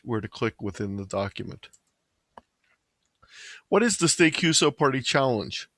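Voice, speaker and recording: A man's voice: medium pitch, a bit bright, no echo. Man reading a presentation, very dry sound. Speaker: presenter of an amateur radio slideshow. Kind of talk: scripted presentation narration, read aloud.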